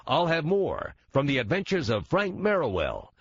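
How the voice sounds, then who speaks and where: A man's voice: a little high, wildly swooping pitch, normal speed, breathy edge, no room sound. A man hosting a vintage radio podcast in a scripted presenter's delivery. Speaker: podcast host. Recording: slightly dull, music behind.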